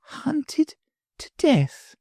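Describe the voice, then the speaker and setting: A woman reading an audiobook, voicing a gentle, earnest little girl in a soft, pleading way. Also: a little high, very animated, a little slow, no room sound, clean studio sound, pitched above the performer's own voice, exaggerated voice. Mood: awed. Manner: soft, pleading, wide-eyed. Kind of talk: audiobook character voice.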